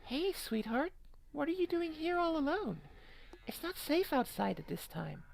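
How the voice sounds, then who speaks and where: A woman's voice: high-pitched, big pitch swings, measured pace, gravelly, slight background noise, pitched above the performer's own voice, full character voice, low-quality recording. A woman voicing a sweet, concerned young woman in a game, cooing and coaxing as if to a child.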